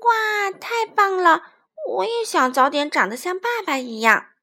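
An innocent baby dinosaur boy from a storybook, played by a woman, with a very high, animated voice. He is childlike and adoring, exclaiming and pleading in an unhurried way. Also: full character voice. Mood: excited, proud.

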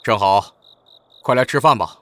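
A man's voice: lowish voice, normal speed, fairly bright tone, lightly acted. A man playing a mild older father-in-law in a Chinese period audio drama. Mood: mild, caring. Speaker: voice actor in an amateur audio drama.

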